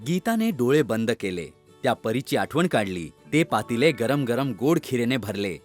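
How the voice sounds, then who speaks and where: Lively male children's storyteller. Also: medium pitch, normal speed, soft background music. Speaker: storyteller narrator. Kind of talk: scripted children's story narration.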